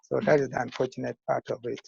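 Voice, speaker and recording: An older man in his sixties with a Ugandan accent, giving measured, formal interview answers as a school founder. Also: medium pitch, level tone, creaky, darkish tone, slightly rough, dry recording. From northern Uganda. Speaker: school founder and retired teacher. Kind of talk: interview answers on a video call. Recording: muffled lo-fi call audio.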